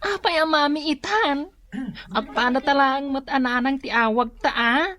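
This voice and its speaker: An actress playing a wistful, admiring schoolgirl with a hesitant, drawn-out delivery and an Ilocano Filipino accent in a radio drama. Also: high-pitched, fairly bright tone. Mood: curious. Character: wistful, admiring young schoolgirl, poor classmate.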